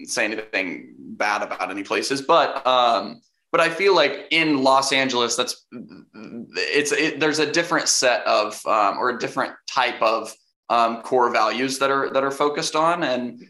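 A young man, an actor, answering interview questions casually, hesitant and full of restarts, with a fairly deep voice. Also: expressive, measured pace, sharp tone. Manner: hesitant, many restarts and fillers, laughs easily. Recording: roomy echo.

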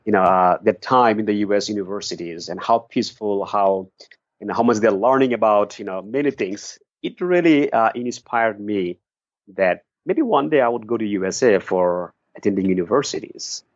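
Middle-aged guy, earnest reflective telling, lowish voice, dry muffled sound. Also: normal speed, no room sound. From Bangladesh. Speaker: guest, nonprofit founder. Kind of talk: interview answers.

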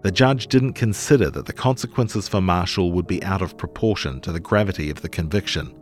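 A man reading a long-form news feature in a measured, articulate scripted narration style. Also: deep voice, a little mellow, faint music behind.

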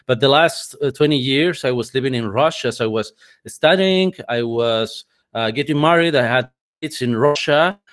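A man's voice: mid-range voice, moderate pace, a bit bright. A man in his forties, a native Spanish speaker, speaking English as a guest in a remote interview, often repeating words as he searches for them. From Spanish-speaking country. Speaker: guest, head of communications. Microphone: remote call microphone.

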